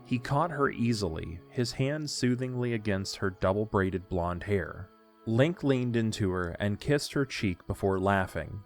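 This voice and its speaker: A man's voice: lowish voice, animated, measured pace, soft background music. A man narrating a scripted story for an audio drama.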